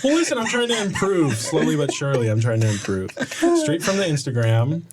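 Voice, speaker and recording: A man's voice: on the high side, huge pitch swings, a little fast, noisy background. A young adult man hosting a student podcast, teasing his guest with jokes and frequent "um"s. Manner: joking, teasing, hesitant fillers. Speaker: podcast host. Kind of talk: casual chat.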